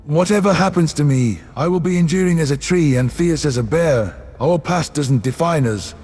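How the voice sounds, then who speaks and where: A man voicing a stern, calm human soldier who speaks in a measured way to his friend. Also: fairly high pitch, husky, faint music behind. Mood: resolute. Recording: long echoing reverb, background noise.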